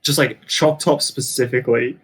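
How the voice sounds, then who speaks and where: A man's voice: mid-range voice, fairly even intonation, moderate pace, fairly bright tone, slight background noise. An Australian man giving blunt, opinionated, casual banter with some swearing on a podcast. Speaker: podcast panellist.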